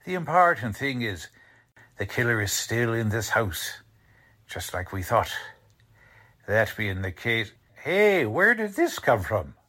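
A man playing a shrewd, suspicious investigator in a 1940s-style radio drama, questioning deliberately in colloquial, ungrammatical speech. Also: medium pitch, big pitch swings, bright, forceful tone, full character voice. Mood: concerned, businesslike. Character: shrewd streetwise male investigator, quietly suspicious.

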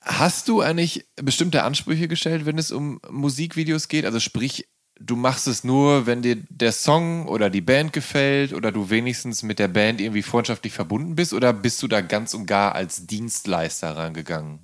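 A man's voice: medium pitch, moderate pace, dry recording, studio quality. A man asking questions and offering thoughts as the interviewer in a casual conversation.

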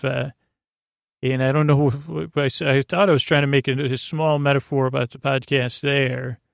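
A man hosting a sleep podcast in a meandering, halting bedtime monologue, drifting off on tangents and stammering over his words. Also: mid-range voice, gravelly, dry recording, clean studio sound.

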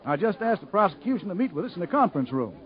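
An actor playing a cautious, reasonable country defense lawyer in a 1950s radio drama. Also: male voice, high-pitched, big pitch swings, rapid-fire. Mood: cautious, earnest. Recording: dull 1950s radio broadcast recording.

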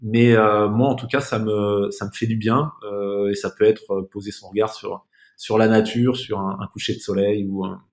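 Male podcast guest, lowish voice, reflective and conversational, gropes for words with frequent repeats. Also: flat delivery, moderate pace, a little mellow. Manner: hesitant, searching for words, repeats words. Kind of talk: casual reflective interview conversation.

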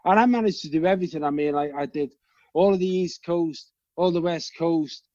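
A man with a Liverpool accent, a guest telling animated, rambling stories and swearing freely. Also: fairly high pitch, a little fast, no echo, highs cut off. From England. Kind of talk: casual storytelling chat.

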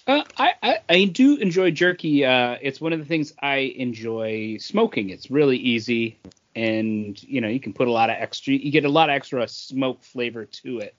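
Mid-pitched man on slightly dull audio. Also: moderate pace. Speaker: podcast co-host. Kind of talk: casual conversational explaining.

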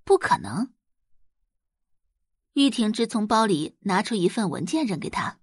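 A woman's voice: medium pitch, big pitch swings, unhurried, slightly rough, no room sound, studio quality. A woman reading scripted dramatic narration as an audiobook narrator.

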